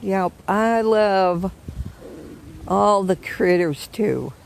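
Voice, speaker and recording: An older woman with a hoarse, froggy morning voice, chatting gently and affectionately with live viewers from the woods. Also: medium pitch, extremely slow, a little hiss or hum, rough recording. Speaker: vlogger greeting live viewers. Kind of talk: casual live chat. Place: outdoors in the woods.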